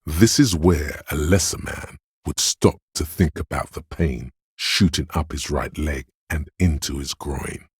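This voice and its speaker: The voice of pain inside someone's head, a male presence with an extremely low, husky rumble. It narrates a dark video game like grim poetry, brooding and deliberate. It goads the listener with theatrical menace, close and dry. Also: no room sound, heavily acted. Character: inner voice of pain, male, menacing, darkly poetic. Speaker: video game narrator voicing a character's inner thought. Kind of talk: dramatic second-person game narration.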